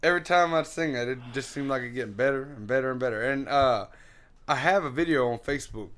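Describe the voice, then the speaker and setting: A man talking as an interview guest in a relaxed, rambling way, with lots of fillers and frequent laughs. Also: mid-range voice, quick pace. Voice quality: loud singing voice. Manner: laughs often. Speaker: interview guest, singer and guitarist. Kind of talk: casual interview chat.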